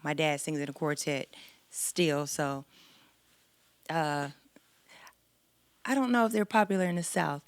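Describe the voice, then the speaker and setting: A woman singer, a guest on a podcast, talking in a soft, thoughtful and reflective way with a light, sweet voice. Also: sits low, a little slow, long pauses, no room sound. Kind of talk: casual podcast chat.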